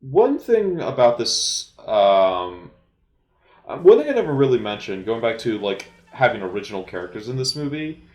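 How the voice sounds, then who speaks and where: A man giving casual, unscripted movie commentary as an amateur, speaking hesitantly with halting, trailing pauses. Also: mid-range voice, very animated, a little mellow, echoey room.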